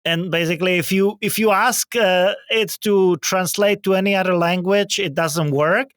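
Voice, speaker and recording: A man's voice: sits high, fairly even intonation, moderate pace, a bit bright, clean tone, no echo, studio quality. A man from the Czech Republic with a Czech accent, hosting a podcast interview in a casual, hesitant way with many fillers and restarts. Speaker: podcast host.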